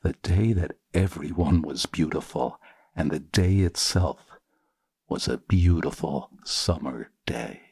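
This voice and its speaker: A man performing poetry in an expressive, theatrical, deliberate reading style. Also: a bass voice, breathy edge, dry recording, studio quality.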